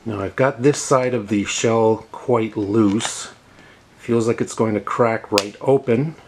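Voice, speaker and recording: A man's voice: lowish voice, takes it slowly, a little mellow, slight background noise. A middle-aged man giving casual hands-on tutorial narration, explaining plainly and repeating words for emphasis. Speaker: host of a how-to tech repair video.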